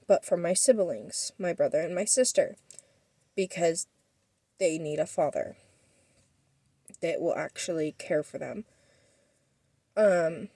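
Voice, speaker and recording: A woman's voice: medium pitch, darkish tone, dry recording, studio quality. A young woman telling a personal story as a vlogger, rambling and defensive with many fillers, sniffling.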